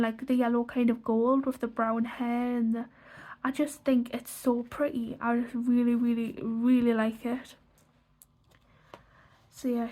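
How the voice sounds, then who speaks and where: A young woman, stuffy from a cold, chatting casually through a colouring book flip-through with lots of "like" fillers. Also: on the high side. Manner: rambling, many "like" fillers and restarts. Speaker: colouring channel host.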